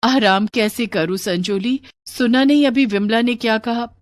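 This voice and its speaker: A woman's voice: a little high, measured pace, dry recording, pitched above the performer's own voice, natural voice. A woman acts out a poor seamstress mother from a moral tale. She is humble and worried, pleading earnestly with those around her. The sound is close and dry. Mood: worried, insistent. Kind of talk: Hindi moral story dramatized narration.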